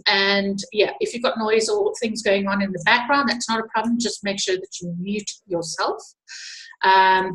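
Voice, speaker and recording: A woman's voice: medium pitch, a bit bright. South African woman in an echoey room. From Zimbabwe and South Africa. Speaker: webinar host and presenter. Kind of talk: informal webinar presentation. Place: indoors at a computer, online webinar. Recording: echoey room on computer microphone.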